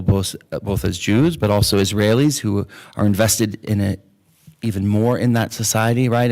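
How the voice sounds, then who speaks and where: A man, a rabbi, giving reflective opening remarks to a class in a synagogue chapel. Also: medium pitch. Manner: reflective, thoughtful.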